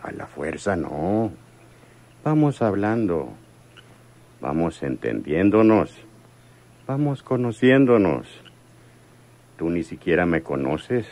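A man playing an arrogant, rich seducer in a radio soap opera, smooth-talking, domineering and menacing. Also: deep voice, very animated, slow pace, long pauses, a little mellow, exaggerated voice. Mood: menacing, coaxing. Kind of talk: Spanish-language radio soap opera acting.

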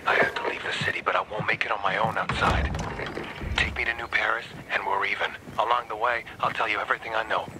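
A man's voice: on the low side, flat delivery, fast talker, very noisy, natural voice. An actor playing a secretive, bargaining male fixer in a video game, talking in a terse, knowing way. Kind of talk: video game dialogue acting.

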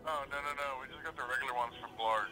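A man working at a fast-food place, chatting casually and laid-back on a phone call. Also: medium pitch, steady tone, over music.

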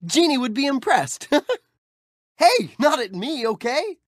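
A cheerful young street-smart hero. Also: male voice, very high voice, sing-song, measured pace, no echo, clean studio sound, exaggerated voice. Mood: friendly. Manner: friendly, upbeat and playful. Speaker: game character voice clips. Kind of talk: video game voice lines.

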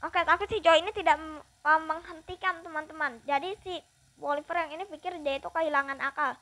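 Very high, bright young Indonesian woman gamer. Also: fairly even intonation, a little fast. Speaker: gaming streamer. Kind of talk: casual let's play commentary, reading aloud and translating.

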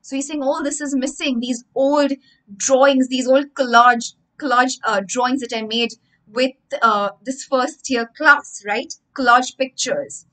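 Expressive Indian English woman storyteller. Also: high-pitched, a little slow, poor audio. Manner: expressive, animated hand gestures, explains words as she goes. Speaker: storytelling teacher. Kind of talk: reading a story aloud and explaining it to children. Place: room with bookshelves.

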